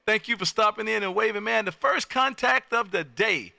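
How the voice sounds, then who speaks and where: A man's voice: sits high, normal speed, gravelly, sharp tone, no room sound, studio quality. An American man hosting a live ham radio stream, folksy and exuberant, repeating his phrases as he chats on air. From Texas, United States. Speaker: amateur radio operator and livestream host.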